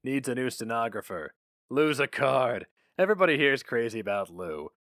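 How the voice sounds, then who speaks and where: A man voicing a chummy, smoothly persuasive company recruiter with a folksy, chatty, breezy manner. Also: mid-range voice, animated, normal speed, a bit bright, no room sound, clean studio sound. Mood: chummy, coaxing.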